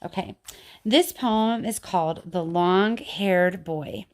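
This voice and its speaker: A middle-aged woman, a teacher, reading children's poetry aloud and chatting informally about it in an expressive way. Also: on the low side, slow pace. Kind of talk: read-aloud of children's poetry with casual commentary.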